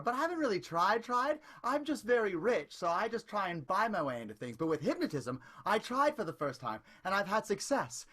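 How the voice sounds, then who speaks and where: A man's voice: sits high, sharp tone, full character voice. A man voicing a rich, bumbling impresario who fancies himself a hypnotist, rambling smugly. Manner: rambling and self-satisfied. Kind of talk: improvised radio play.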